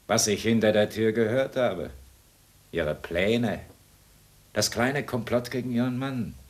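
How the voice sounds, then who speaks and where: Escaped convict, low voice, sardonic, cunning, mockingly polite, persuasive, 1960s radio thriller acting. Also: male voice, measured pace. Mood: insinuating. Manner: mocking politeness, coaxing, taunting. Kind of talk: 1960s German radio crime drama acting.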